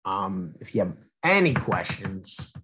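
Husky, expressive man reading to children. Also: sits low, slow pace. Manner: addresses young listeners with questions and asides. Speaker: read-aloud storyteller. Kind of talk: expressive children's picture book read-aloud.

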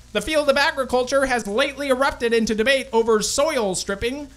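A woman's voice: fairly high pitch, lightly acted. A woman playing an older male 1920s radio newsreader with a formal, declamatory delivery. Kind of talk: old-time radio news acting.

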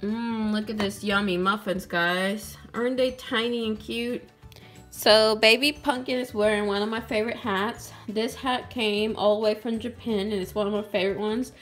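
A woman's voice: medium pitch, fairly even intonation, normal speed, a bit bright. A young woman casually narrating doll-play cooking in a sweet, chatty way, speaking to her viewers and a doll. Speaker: doll channel host. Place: toy kitchen indoors. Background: background music.

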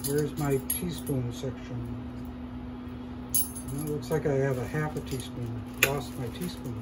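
A man hosting a cooking show, calmly explaining each step as he cooks in a shop demo kitchen. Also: mid-range voice, unhurried, heavy background noise. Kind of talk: casual cooking demonstration.

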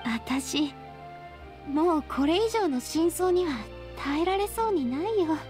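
高い声の若い女ボス. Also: female voice, very high voice, animated, takes it slowly, heavily acted. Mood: weary, downcast. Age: young adult. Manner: casual, frank, feminine speech. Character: young woman gang boss, brave but weary and vulnerable. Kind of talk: Japanese anime game voice acting. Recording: music behind the voice.

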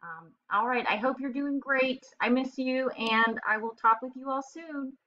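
A woman's voice: high voice, a little slow, pushed and bright. A young woman teacher talking casually and directly to young children as she does a read-aloud. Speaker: elementary school teacher. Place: indoor room, webcam screencast. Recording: slightly dull webcam audio.

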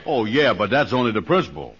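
Casting: puffed-up, naive comic braggart, lowish pitch, folksy 1940s radio style, muffled old recording. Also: male voice, very animated, fast, a bit bright. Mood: boastful. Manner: folksy, ungrammatical, puffed-up delivery. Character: boastful, naive, self-important grown man. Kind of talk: 1940s radio comedy acting. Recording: dull vintage radio recording.

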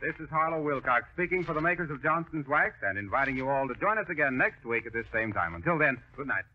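A man's voice: medium pitch, lively intonation, fast. A man with an American accent announcing from a script in 1930s radio style. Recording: dull lo-fi 1930s radio broadcast.

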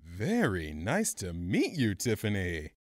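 An actor playing a suave, flirtatious mobster nightclub owner in an audio drama, theatrical and smooth-talking. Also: low voice, wildly swooping pitch, takes it slowly, a little mellow, no room sound, clean studio sound, full character voice. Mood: oily charm.

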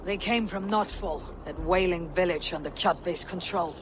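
A man's voice: high-pitched, moderate pace, background noise, lightly acted. A man playing a stern, loyal Viking warrior in a video game, blunt and solemn. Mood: grim, resolute. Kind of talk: dramatic video game acting.

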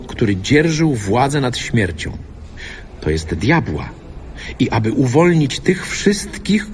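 A man's voice: medium pitch, a little mellow. Guy reading bible letter, earnest, very up and down intonation, faint noise. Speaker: scripture reader. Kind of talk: scripted reading of an epistle.

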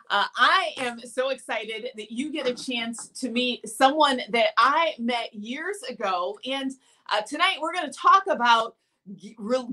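Woman host, middle-aged, fairly high, bright, expressive live-stream delivery. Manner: animated, gestures a lot. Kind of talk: live video show chat. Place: home room with plant and mannequin.

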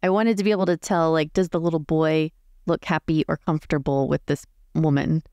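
A woman's voice: sits low, fairly even intonation, brisk, dark tone, no echo. A woman playing a tabletop roleplay game, casually narrating her character's choices and thinking aloud.

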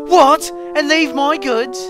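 A man's voice: very high-pitched, fairly even intonation, slow pace, over music, voice pushed up. A man voicing a vain, hot-tempered small steam engine from a children's story, spluttering indignantly. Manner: spluttering, shouting protests.

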